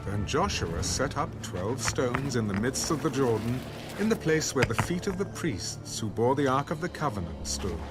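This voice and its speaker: A man narrating a scripted, dramatized audio Bible in a measured, solemn way. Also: mid-range voice, sing-song, loud music behind the voice.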